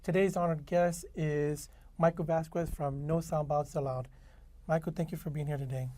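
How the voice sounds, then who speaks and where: Interview host, man, higher register, dry recording, brisk. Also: fairly even intonation, mellow, dry recording. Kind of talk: interview hosting.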